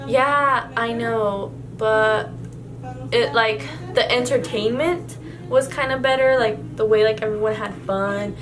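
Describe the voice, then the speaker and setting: A woman's voice: a little high, steady tone, takes it slowly. A young woman whose first language is Spanish, answering questions in a casual vlog and rambling with many fillers and restarts. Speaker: vlogger. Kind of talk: casual Q&A tag video.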